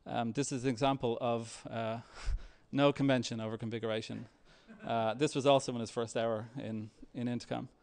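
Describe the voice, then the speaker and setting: A man giving a software talk with a live demo, speaking in a halting, hesitant way. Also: mid-range voice, steady tone, creaky. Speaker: software developer presenting at a tech meetup.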